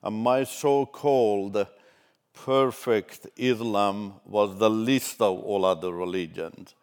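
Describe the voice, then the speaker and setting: An older man from Iran whose first language is Turkish, giving a measured, halting personal testimony as a ministry speaker. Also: lowish voice, fairly even intonation, a little mellow, clean tone.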